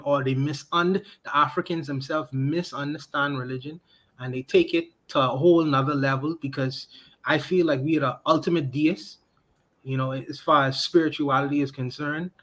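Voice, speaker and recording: A man on a podcast livestream speaks with a slightly raised pitch, pressing his opinions hard. He circles back to the same point again and again and restarts his sentences as he thinks aloud. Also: fairly even intonation, normal speed, fairly bright tone. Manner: emphatic, repeats his points, frequent restarts.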